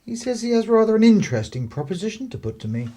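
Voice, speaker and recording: Middle-aged English clergyman father, played by a man, stern and pompous, formal delivery, lively intonation, for period radio drama. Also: high-pitched, fast. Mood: pleased. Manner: formal, disapproving. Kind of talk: radio play acting.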